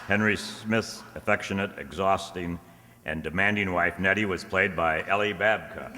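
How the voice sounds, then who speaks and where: A man announcing from a script in a deliberate, theatrical style. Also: low voice, fairly even intonation. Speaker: announcer reading cast credits. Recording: noisy echoey hall, loud crowd behind.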